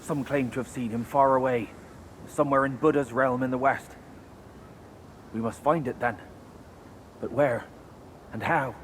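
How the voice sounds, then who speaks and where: An actor playing a wary rat exile in a video game, earnest and guarded, weary but resolute. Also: male voice, medium pitch, fairly even intonation, a little slow, long pauses, a little hiss or hum, natural voice. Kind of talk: video game character acting.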